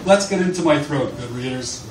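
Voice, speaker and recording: A man's voice: medium pitch, expressive, normal speed. A man reading aloud from a book at a live literary event in a bar. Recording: distant, echoey, noisy live bar recording.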